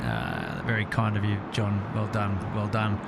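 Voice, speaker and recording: A man's voice: on the low side, monotone, measured pace. An Australian man hosting a live plane-spotting stream with casual, jovial, chatty commentary and banter. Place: outdoors near an airport. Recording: outdoor airport ambience, clear vehicle noise.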